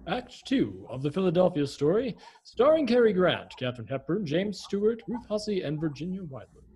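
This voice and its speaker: Animated high-voiced male narrator over music. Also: moderate pace. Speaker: radio drama narrator. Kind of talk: scripted dramatic narration.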